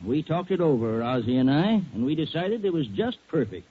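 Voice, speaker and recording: An actor playing a plain-spoken, assured American silverware store owner in a 1940s radio commercial. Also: male voice, fairly high pitch, very animated, fairly quick, narrow, tinny audio. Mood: folksy, assured. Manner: folksy, assured. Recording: thin, faintly noisy 1940s radio recording.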